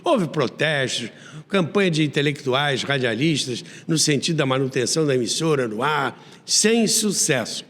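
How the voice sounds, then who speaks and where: A man's voice: a little high, animated, normal speed, fairly bright tone, big-room sound. A Brazilian man hosting a history program, narrating in a conversational storyteller's way with wry, theatrical asides. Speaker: host of a radio history show.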